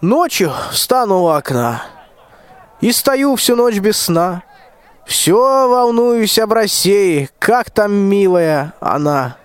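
A young man in a radio play acting a cowardly, wheedling, self-pitying old tsar in slow, theatrical, plaintive rhymed verse. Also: high voice, lively intonation, smooth, dry recording. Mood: pious, self-pitying. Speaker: school student actor.

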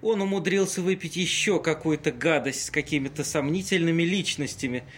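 A man acts out a courtly, long-winded ghost of a great seducer in an audio play. His voice sits a little high, and he talks in ornate, pompous courtesies. Faint noise lies behind the recording. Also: fairly quick, fairly bright tone, natural voice. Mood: aggrieved, disdainful. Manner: florid, pompous, archaically polite speech. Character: courtly, wordy ghost of Casanova inhabiting a tramp. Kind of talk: amateur audio play acting.

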